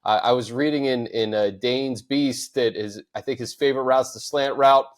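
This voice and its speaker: A man hosting a sports podcast, smooth and even, laying out points in steady lists. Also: medium pitch, normal speed. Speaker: podcast host.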